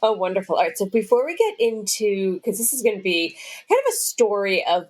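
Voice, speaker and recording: A woman from the Boston area hosts a podcast interview. Her voice is mid-pitched, with no regional accent. She speaks with eager enthusiasm and keeps encouraging her guest. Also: animated, fairly quick, a little mellow. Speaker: podcast host. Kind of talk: casual interview.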